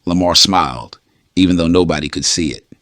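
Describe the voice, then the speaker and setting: Very deep, bright-toned male storyteller. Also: fairly quick, no echo. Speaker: narrator. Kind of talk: scripted storytelling.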